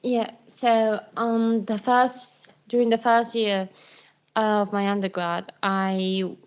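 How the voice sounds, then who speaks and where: A woman's voice: mid-range voice, flat delivery, takes it slowly, clear, resonant tone. Young woman, muffled call audio. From Spain. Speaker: student ambassador. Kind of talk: informal presentation on a virtual call. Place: online video call. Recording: dull, lo-fi online call audio.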